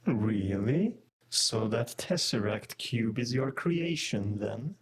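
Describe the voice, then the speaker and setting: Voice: mid-range voice, expressive, slow. An actor playing an arrogant, ancient robotic alien overlord in a sci-fi audio drama, condescending and menacing. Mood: haughty menace.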